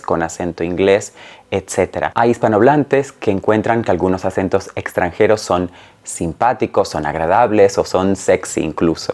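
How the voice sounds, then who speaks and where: Guy in his 40s, native spanish speaker, lowish voice, explaining stuff clearly like a teacher in an online lesson. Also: a little mellow. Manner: clear, careful, didactic phrasing. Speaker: Spanish teacher and channel host. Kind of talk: explanatory language lesson to camera. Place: small room at home, indoors.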